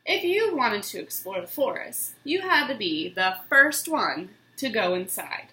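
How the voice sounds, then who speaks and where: A woman reads a children's book aloud in a theatrical, expressive way. Her voice is bright and swoops widely, taking its time over each phrase in a roomy, echoing space. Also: mid-range voice, a little slow. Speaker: storybook reader. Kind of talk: dramatic children's book reading. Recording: roomy, echoing room sound.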